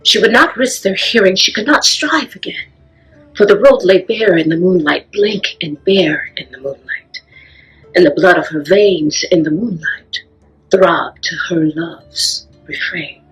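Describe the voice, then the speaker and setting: A woman with a husky, breathy voice giving a dramatic poem reading. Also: lowish voice, a little slow, fairly bright tone, soft background music, rough recording. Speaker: poem reader.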